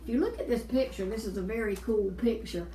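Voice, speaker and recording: A woman's voice: fairly high pitch, fairly quick, dark tone, slight background noise. Echoey woman reading a children's story. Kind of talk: children's Bible story read aloud. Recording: echoey room, distant mic.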